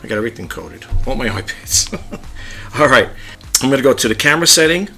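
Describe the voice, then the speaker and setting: A man talks casually through a gadget demo at his home desk, rambling in a low-key, near-monotone way. His voice has a bright, forward edge, and soft music sits faintly under it. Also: fast, creaky, slightly rough. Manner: chatty, rambling, demonstrating gadgets. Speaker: YouTube vlogger. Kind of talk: casual tech demo vlog.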